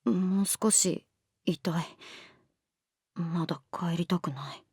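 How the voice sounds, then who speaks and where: A woman's voice: lowish voice, slow pace, darkish tone, slightly rough, no echo, studio quality, heavily acted. A woman voicing a wounded, guarded runaway middle-school girl in a Japanese voice drama, speaking hesitantly and tersely. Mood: timid pleading. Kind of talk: Japanese voice drama acting, with inner monologue.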